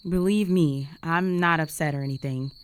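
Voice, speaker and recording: A woman's voice: sits low, moderate pace, clear, resonant tone, lightly acted. A young woman playing a jealous, flustered roommate with a secret crush in an intimate romantic audio roleplay, speaking in a hesitant, conversational way. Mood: dejected, resigned. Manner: conversational, hesitant, sighing, emotionally exposed.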